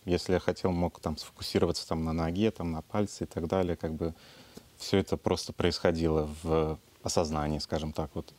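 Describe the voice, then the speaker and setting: A man sharing his meditation experience at a retreat, speaking haltingly and searching for words. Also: low-pitched, flat delivery, darkish tone. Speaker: retreat participant. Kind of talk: personal testimony.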